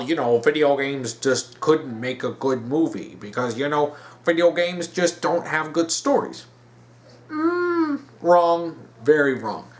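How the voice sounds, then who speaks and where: Higher-voiced man with animated pitch swings. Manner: emphatic, repeats words for stress. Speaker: video host giving opinions. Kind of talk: opinion commentary to viewers.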